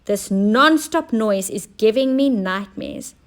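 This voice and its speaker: Woman as a slow, hissing storybook snake. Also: mid-range voice, expressive. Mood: spluttering. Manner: hissing, spluttering. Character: fussy, complaining male storybook snake.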